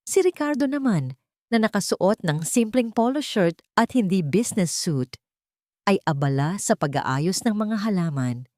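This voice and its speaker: A woman narrating a scripted, sentimental audio drama in measured, dramatic short phrases. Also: mid-range voice, animated, darkish tone, no echo, studio quality. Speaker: storyteller, narrator.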